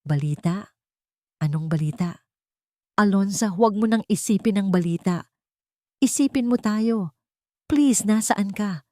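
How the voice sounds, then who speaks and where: A woman's voice: mid-range voice, measured pace, pauses often, a little mellow, no room sound, lightly acted. Woman playing a desperate cheating husband, manipulative, wheedling, blustering, audio drama, dry sound. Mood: pleading.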